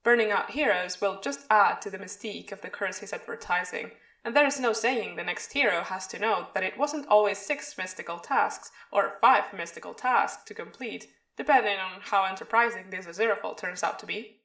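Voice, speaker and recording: A woman's voice: mid-range voice, normal speed, fairly bright tone, lightly acted. Cynical schemer's inner monologue, female actor, roomy and set back from the mic, calculating. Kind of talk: inner monologue in podfic drama. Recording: roomy echo, distant from mic.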